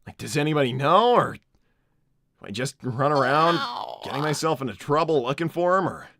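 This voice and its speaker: Kindly, impatient hedgehog hero for game role-play, male performer: bright, stammering delivery, dry sound over music. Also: a little high, animated, a little fast, no echo. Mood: resigned. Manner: stammering, rambling, casual exasperation. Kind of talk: improvised in-character livestream role-play.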